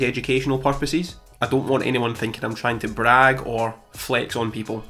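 A young British man with a slightly croaky voice after illness, steadily reading out his investment figures to camera from a small home room. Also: medium pitch, fairly bright tone. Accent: British English. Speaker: personal finance YouTuber. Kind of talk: scripted portfolio update to camera. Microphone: studio mic in front of him.

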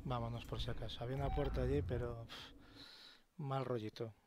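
A man with a Spain Spanish accent giving casual commentary as he plays a game, thinking out loud and sometimes dropping to a hush. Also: mid-range voice, level tone, moderate pace, slight background noise. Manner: chatty, thinks aloud, sometimes drops to a hush. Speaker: let's play host. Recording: loud game sound under voice. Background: horror game ambience.

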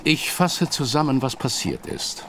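A man's voice: mid-range voice, very animated, measured pace, a bit bright, slight background noise. An actor playing a ruthless, vengeful aristocrat and grieving father who makes cold, clipped threats in a radio drama. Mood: accusing. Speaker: villain.

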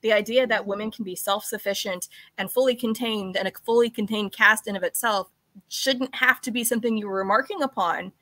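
A woman's voice: mid-range voice, brisk, sharp tone, no room sound. A middle-aged woman hosting a video-call interview, chatty and enthusiastic. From mainland United States. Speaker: podcast host.

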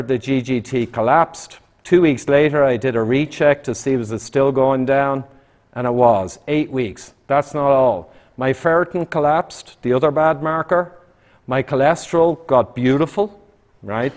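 A man's voice: mid-range voice, darkish tone. Punchy man lecturing a hall. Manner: punchy, emphatic, quick asides. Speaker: public speaker. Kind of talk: lecture to a live audience. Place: town hall, indoors. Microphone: far camera, room sound.

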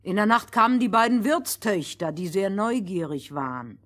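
A woman's voice: medium pitch, expressive, normal speed, a bit bright. A woman playing a bossy, exacting storyteller in a fairy-tale audio play, with emphatic delivery. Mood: narrating. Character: bossy, exacting female storyteller, correcting her partner. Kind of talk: acted fairy-tale narration in dialogue.

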